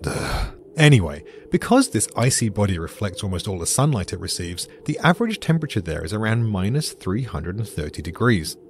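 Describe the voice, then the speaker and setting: A man's voice: fairly low pitch, very animated, fairly quick, slight background noise. A British man giving dry, dramatic scripted podcast narration as the explainer.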